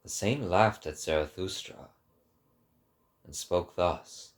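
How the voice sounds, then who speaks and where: A man's voice: low-pitched, slow. A man narrating a philosophical book in a scripted, dramatic reading style. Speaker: narrator, amateur voice actor.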